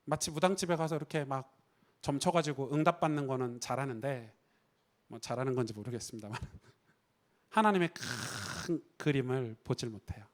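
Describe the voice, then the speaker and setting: A man's voice: medium pitch, normal speed. A man preaching a Sunday sermon as a pastor in a church, talking conversationally and asking the congregation rhetorical questions. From Korea. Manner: conversational, rhetorical questions, acts out quoted voices.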